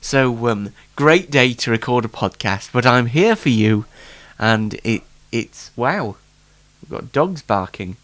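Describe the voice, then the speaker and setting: A man's voice: mid-range voice, animated, takes it slowly, a bit bright, no echo. A man hosting a casual solo late night talk show, rambling in a jokey, self-deprecating way, slightly stuffed up with hay fever.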